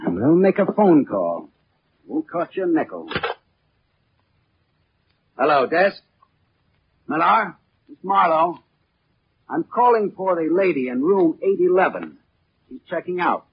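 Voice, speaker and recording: An actor playing a tough, wry American private detective, deadpan and wisecracking, in hardboiled 1940s radio drama style. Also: male voice, on the high side, takes it slowly, long pauses, slightly rough, exaggerated voice. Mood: tough, needling. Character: wry, tough male private detective narrating his case. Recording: muffled old radio broadcast with music.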